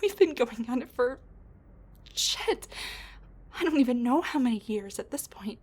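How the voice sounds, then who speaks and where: A woman playing a sassy, rambling wounded supervillain with a soft side, talking intimately to the listener in a roleplay audio drama, with breathy asides and sighs. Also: a little high, animated, normal speed. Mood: distressed venting.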